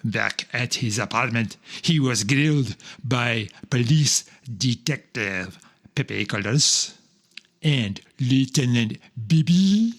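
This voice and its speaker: A man announces a dramatized serial like an old radio narrator. His delivery is extremely slow and deliberate, broken into short phrases with weighty pauses. The pitch sits in an ordinary middle range for a man. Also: animated.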